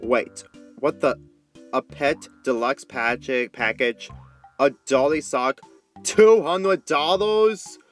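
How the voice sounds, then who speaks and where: A man's voice: medium pitch, slow pace, soft background music, pitched above the performer's own voice. A man voicing a caring but firm teenage girl who owns a dog, speaking emphatically in a story reading. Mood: outraged.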